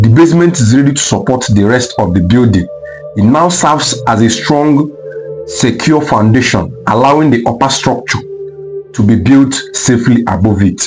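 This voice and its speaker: Man, mid-pitched voice, documentary narrator, steady explanatory read, soft music underneath. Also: poor audio. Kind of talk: scripted documentary voice-over. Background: faint background music.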